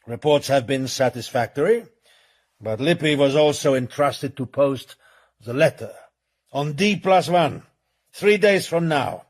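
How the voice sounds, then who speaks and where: A man acting in a radio drama as the coldly authoritative chairman of a criminal syndicate, formal, measured and commanding. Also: fairly high pitch, expressive.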